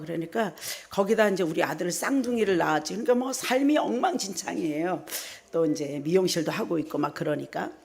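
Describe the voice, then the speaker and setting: Gravelly-voiced woman preacher giving testimony. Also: fairly low pitch. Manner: animated storytelling, re-enacts her own prayers in direct speech. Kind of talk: personal testimony at a revival meeting.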